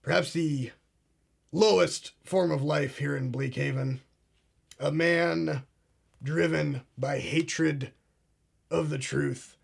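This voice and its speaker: A man's voice: a little high, steady tone, takes it slowly, gravelly, pauses often, breathy edge, full character voice. An actor playing a pompous, paranoid male conspiracy talk show host who speaks in a grandiose, ominous way. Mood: grave. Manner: grandiose, ominous, addresses "ladies and gentlemen". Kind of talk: comedic mock talk show.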